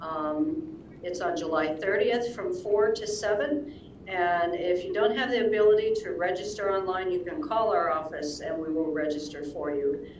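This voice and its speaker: Brief: woman public health director, halting updates, lower voice, echoey room, distant mic. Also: darkish tone, a little hiss or hum. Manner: halting, many ums and uhs. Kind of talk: reading public announcements. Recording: echoey room, heard from far off.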